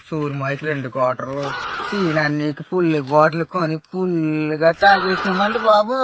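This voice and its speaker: A man, playing a naive villager in a scripted phone comedy. He rambles and breaks into sudden loud outbursts. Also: on the high side, highs cut off, noisy background.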